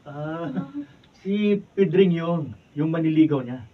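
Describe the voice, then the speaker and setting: An actor playing a curious, naive younger cousin in a Filipino radio drama. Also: male voice, on the high side, slow. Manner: inquisitive, surprised. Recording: dull sound, distant mic.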